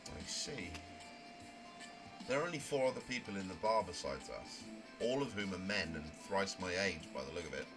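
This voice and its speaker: A man plays a naive young newcomer in a visual novel, low in pitch and very animated. The delivery is wordy and a little prim, rambling along as narration and dialogue, with music clearly playing underneath. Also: moderate pace. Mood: observant, prim. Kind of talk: amateur visual novel voice acting, first-person narration.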